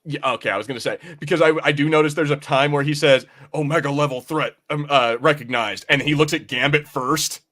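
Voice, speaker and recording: A man's voice: on the high side, fairly quick, hardly any pauses, fairly bright tone. A man co-hosting a podcast in casual fan review chat, rambling and swearing freely. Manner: profane, rambling. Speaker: podcast co-host.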